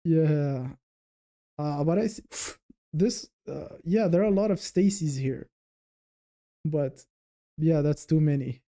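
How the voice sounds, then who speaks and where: A man's voice: on the high side, takes it slowly, long pauses, mellow. A man hosting a casual livestream, rambling and thinking aloud as he chats with viewers. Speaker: livestream host.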